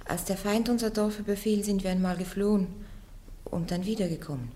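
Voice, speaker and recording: A woman's voice: mid-range voice, a little fast, dark tone, close to an everyday voice. Woman playing a young female prisoner, radio play, defiant, plain-spoken, fearless, mid-range voice. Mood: cheeky, stubborn.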